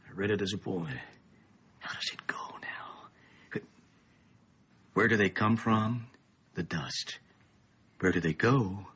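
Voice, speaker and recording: An actor playing a weary middle-aged, bookish father in a radio play, grave and thoughtful, with a husky voice and a measured, ominous way of explaining. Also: sits low, fairly bright tone, heavily acted. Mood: ominous, hushed. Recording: dull, muffled lo-fi radio play.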